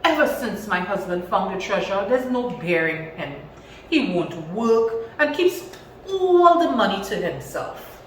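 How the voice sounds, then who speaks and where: A woman's voice: mid-range voice, sing-song, a little slow. A woman reads as a foolish, gossipy old storybook wife with a Trinidadian accent. She is plaintive and dramatic, heard at a distance in a strongly echoing room. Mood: moaning complaint. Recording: echoey room, distant mic, faint noise.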